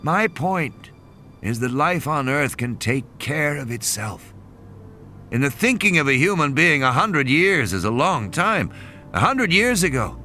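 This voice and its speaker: A man's voice: mid-range voice, bright, forceful tone. A man voicing a weary, cynical scientist who lectures with scorn in emphatic, dramatic phrases for an audio play. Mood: scornful, grave.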